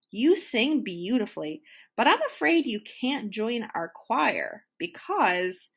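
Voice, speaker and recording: A woman voicing a theatrical female bird choir master in a children's story, gushing and hungry, with drawn-out words. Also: a little high, fairly bright tone, heavily acted. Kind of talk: children's storybook read-aloud.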